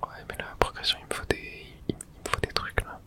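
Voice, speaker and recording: A man whispering ASMR video game commentary, murmuring short reactions to himself. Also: a little fast.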